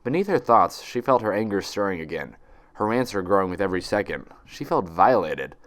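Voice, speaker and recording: A man narrates a story from a script in a fairly low voice. His delivery is dramatic, with lively, wide-moving intonation. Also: a little fast. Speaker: fan fiction reader.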